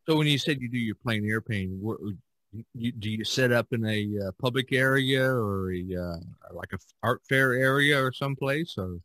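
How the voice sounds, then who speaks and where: A man hosting a casual podcast discussion, talking haltingly and often restarting his phrases. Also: mid-range voice, sharp tone, dry recording, poor audio. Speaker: podcast host.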